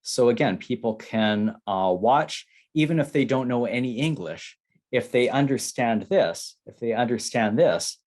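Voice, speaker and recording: A man from Canada teaching beginners in slow, simple English, repeating his phrases. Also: mid-range voice, lively intonation, darkish tone.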